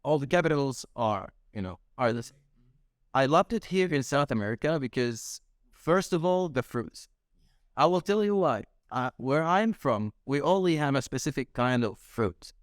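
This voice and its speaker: A man's voice: medium pitch, expressive, moderate pace, dry recording, clean studio sound. A young man from Palestine who owns a restaurant, telling his story in an interview and often restarting mid-sentence as he hunts for words. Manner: searching for words, frequent restarts. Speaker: restaurant owner. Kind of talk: casual interview. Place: small restaurant room.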